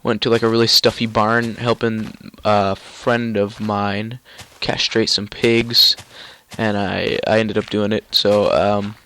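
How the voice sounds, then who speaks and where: A man's voice: lowish voice, flat delivery, slow, pushed and bright, clear, resonant tone. A young man with a stuffy, nasal cold, rambling casually through gameplay commentary with lots of ums and restarts. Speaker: gaming YouTuber.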